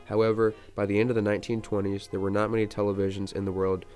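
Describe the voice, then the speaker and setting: A man's voice: fairly low pitch, monotone, quick pace, darkish tone, soft background music. A man reading scripted narration as a reporter on a 1920s-style radio program.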